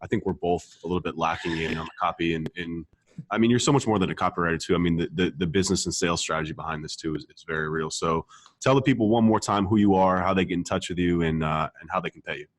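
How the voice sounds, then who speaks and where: A man's voice: low-pitched, fast. A man hosting a casual interview show, chatting informally with a guest. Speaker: show host.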